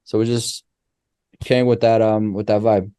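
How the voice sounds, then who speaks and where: A man, a podcast guest who explains ideas through animated, restart-filled stories. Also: fairly low pitch, moderate pace, smooth, no echo, studio quality. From Israel. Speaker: guest, company co-founder. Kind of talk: casual podcast interview.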